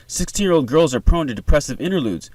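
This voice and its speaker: A man reading the part of a concerned husband and father in a screenplay, soothing and steady in manner. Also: a little high, fast, no echo, voice pushed up, rough recording. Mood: concerned, calming.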